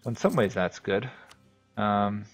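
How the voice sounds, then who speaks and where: A man from New England with a New England accent, casually commenting on his strategy game as he plays and thinking out loud with many fillers. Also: fairly low pitch, a little slow, soft background music. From New England, USA. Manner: thinks aloud, rambling with fillers. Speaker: game streamer. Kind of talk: casual commentary while playing.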